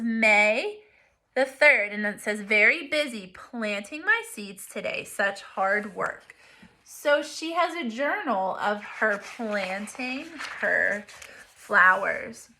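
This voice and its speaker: A woman reads a children's picture book aloud as a teacher would. Her voice is fairly high and bright, and she takes her time, pausing to explain things in simple, deliberate terms for young listeners. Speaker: elementary teacher reading aloud.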